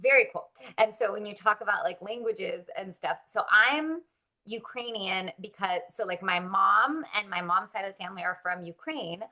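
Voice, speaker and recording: Bright-voiced chatty actress. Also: female voice, mid-range voice, normal speed. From Russia. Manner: chatty, upbeat, frequent likes and ums, laughs often. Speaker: actress, interview guest. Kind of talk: casual interview chat.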